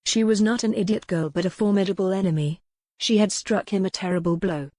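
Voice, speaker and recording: A woman's voice: medium pitch, brisk, dark tone, no room sound, clean studio sound. A woman reading scripted, dramatic historical romance narration as an audiobook narrator.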